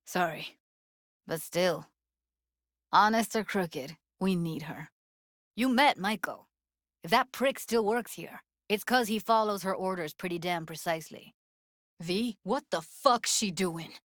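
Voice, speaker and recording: A woman's voice: lowish voice, a little slow, a bit bright, no room sound, studio quality. A woman voicing a young, streetwise techie in a video game, blunt and guarded with tenderness underneath, speaking in casual, clipped slang. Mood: resolute, blunt. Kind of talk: naturalistic video game voice acting.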